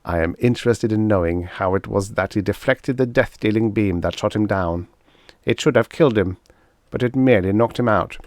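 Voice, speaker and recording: A man's voice: fairly low pitch, moderate pace, few pauses, a little mellow, no room sound, studio quality, lightly acted. A man voicing an evil, irritable toadlike alien commander who barks orders and sneers in a pulp science fiction audiobook. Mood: smug, exultant.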